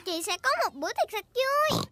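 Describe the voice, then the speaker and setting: A woman voicing an excitable, cheerful little girl pig in a dubbed preschool cartoon, eager and childlike, with music behind her. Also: extremely high pitch, normal speed, full character voice. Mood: excited.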